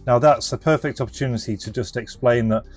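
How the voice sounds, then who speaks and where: A man's voice: mid-range voice, quick pace, soft background music. A man casually presenting a hands-on product demonstration, explaining informally and thinking aloud as he goes. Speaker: presenter and reseller of restored retro electronics.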